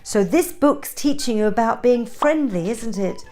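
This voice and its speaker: A woman's voice: on the high side, animated, measured pace, warm tone, faint music behind. A woman reading a children's story aloud, expressively, with chatty asides and questions to young listeners.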